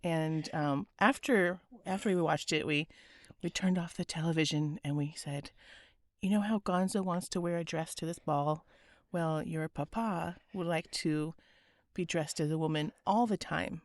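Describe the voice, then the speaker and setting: A woman hosting a podcast, speaking earnestly and gently with a raspy, hoarse voice. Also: low-pitched, a little slow, no room sound. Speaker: podcast host. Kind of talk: scripted podcast intro and interview.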